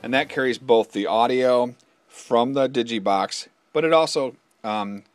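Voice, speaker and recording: A man's voice: mid-range voice, unhurried. A man demonstrating a product in an informal, matter-of-fact, step-by-step instructional style. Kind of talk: informal hands-on instructional walkthrough.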